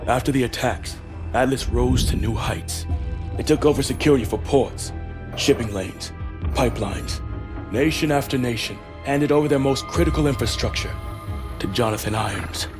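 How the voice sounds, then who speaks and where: Military squad leader, played by a man, video game. Grave, clipped, measured, medium register, clear score underneath. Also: mid-range voice, over music, natural voice. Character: grave, resolute male military special operations team leader. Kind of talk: cinematic video game narration and briefing.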